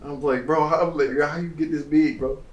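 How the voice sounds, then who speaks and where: A young man with a mid-pitched, low-key voice, dropping jokey asides and trailing off. He sits away from the mic, so his words sound distant and echo around the room. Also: fairly even intonation, a little fast, muffled recording. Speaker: podcast co-host. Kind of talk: casual group chat.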